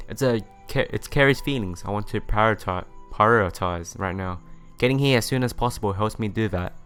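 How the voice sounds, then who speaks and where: A man's voice: fairly low pitch, fairly bright tone, clean tone. A man narrating a visual novel in an earnest, dramatic read-aloud manner. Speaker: let's play narrator.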